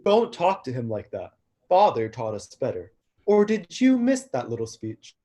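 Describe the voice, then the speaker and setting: A man's voice: mid-range voice, wildly swooping pitch, normal speed, mellow. A man playing a clumsy young god of destruction, earnest and frustrated, in a staged play reading. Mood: defiant.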